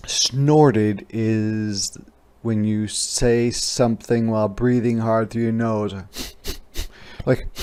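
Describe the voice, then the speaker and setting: A man reading a children's story aloud as a teacher, pausing to explain words and ask questions, stretching key words. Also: lowish voice, a little mellow. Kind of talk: interactive children's read-aloud.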